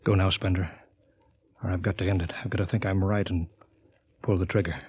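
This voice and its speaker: An actor playing a grave, conscience-torn spaceship captain, earnest and commanding, in 1950s radio drama style. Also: male voice, low voice, little pitch movement, fairly quick, breathy edge, lo-fi sound. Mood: anguished urging. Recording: noisy vintage 1950 radio recording.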